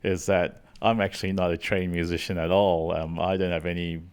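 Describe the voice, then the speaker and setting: A man's voice: low voice, little pitch movement, a little fast, clean tone. A middle-aged man, a worship leader, co-hosting a podcast in easygoing, self-deprecating chat. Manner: self-deprecating, laughs often. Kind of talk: casual chat.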